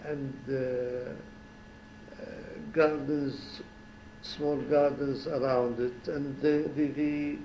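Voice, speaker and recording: A man in his seventies from Syria, a former ambassador giving an oral history interview at home, talking slowly and reflectively with pauses and restarts. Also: mid-range voice, level tone, warm tone, echoey room, highs cut off, slight background noise. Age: 70s.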